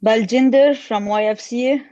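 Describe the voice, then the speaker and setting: A woman co-hosting an online workshop over a video call, reading out chat messages and greeting participants. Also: a little high. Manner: warm greetings, unhurried. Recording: muffled video call audio.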